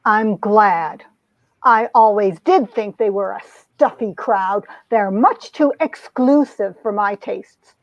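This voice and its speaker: A woman's voice: medium pitch, slow, dry recording. A woman playing a wounded, proud young heroine, narrating and acting out a romance comic with earnest melodrama. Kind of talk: dramatic reading of a 1950s romance comic, narration and dialogue. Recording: dull, lo-fi sound.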